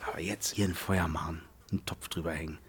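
An actor playing a calm, reasoning village newcomer in a naturalistic radio play. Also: male voice, low-pitched, quick pace, noisy background, natural voice. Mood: placating.

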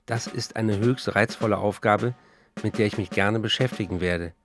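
An actor in a radio play voicing an embattled, wry German novelist of the 1930s, reading out letters and diary entries. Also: male voice, fairly low pitch, moderate pace, a bit bright, faint music behind. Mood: formal, guarded.

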